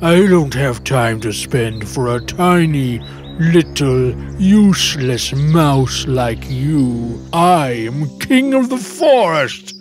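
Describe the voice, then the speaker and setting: A woman's voice: extremely low pitch, animated, slow pace, darkish tone, soft background music, exaggerated voice. A woman voicing a proud, grumpy male lion who rules the forest in a cartoon, talking down to others. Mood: haughty. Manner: haughty, dismissive. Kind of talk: cartoon voice acting.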